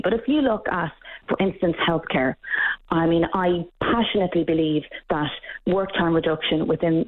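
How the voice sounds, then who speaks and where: An Irish woman answering interview questions earnestly and emphatically, often restarting her sentences. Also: fairly low pitch. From Ireland. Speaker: guest expert. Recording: tinny phone-like line.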